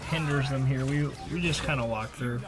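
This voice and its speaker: A man narrates gameplay in a casual, slangy way, as if chatting to a friend. His voice sits at a middle pitch, with clear game noise behind it. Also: moderate pace. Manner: slangy, conversational. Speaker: gaming video creator. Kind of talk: casual gameplay voice-over commentary.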